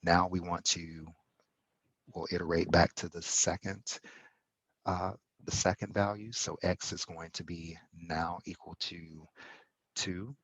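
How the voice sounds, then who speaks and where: A man explaining code step by step in a tutorial, pausing often and correcting himself as he goes. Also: deep voice, a bit bright, slightly rough, dry recording, poor audio. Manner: halting, self-correcting explanation with fillers. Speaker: instructor. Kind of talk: screen-recorded coding tutorial.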